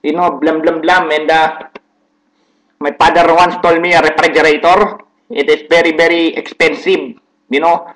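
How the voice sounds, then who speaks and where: A man's voice: fairly high pitch, level tone, normal speed, fairly bright tone, close to an everyday voice, low-quality recording. A man doing a thick Filipino accent. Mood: rambling. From Philippines. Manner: rambling, repeats words, wordplay on mishearings. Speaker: comedic vlogger. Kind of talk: rambling comedic monologue.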